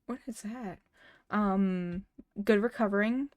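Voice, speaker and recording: A woman's voice: medium pitch, slow, darkish tone, dry recording, muffled recording. A woman streamer in casual chat with her viewers, stuttering often and restarting words, laughing now and then. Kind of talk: casual livestream chat, reading chat messages and a story aloud.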